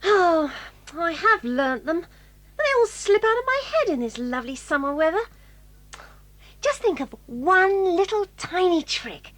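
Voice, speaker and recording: English schoolgirl, children's audio drama, very high pitch, lively intonation, girlish, eager. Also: unhurried, full character voice. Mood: pleading. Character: eager, pleading English schoolgirl who dreads lessons.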